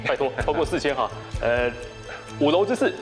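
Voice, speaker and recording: A young man with a Taiwanese Mandarin accent, a quiz contestant chatting casually in a halting, self-mocking way. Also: medium pitch, animated, music in the background. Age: young adult. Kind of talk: casual game-show banter. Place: TV studio quiz set. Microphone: clip-on lapel mic.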